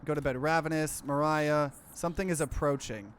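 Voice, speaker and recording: A man with a slightly high voice streams a visual novel. He reads narration aloud, then breaks into casual asides. There is faint noise behind him. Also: fairly even intonation, moderate pace. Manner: switches between reading aloud and offhand commentary. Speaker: streamer, let's player.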